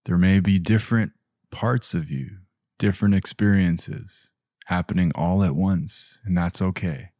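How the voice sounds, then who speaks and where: A man leading a guided meditation in a gentle, hushed delivery, leaving long pauses between short phrases. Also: low voice, no room sound, studio quality. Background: music.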